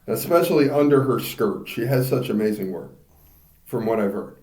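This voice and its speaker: A man's voice: mid-range voice, animated, moderate pace, darkish tone, roomy sound, low-quality recording. A man co-hosting a podcast, joking casually, laughing often and stumbling over names. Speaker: podcast co-host. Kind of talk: casual banter.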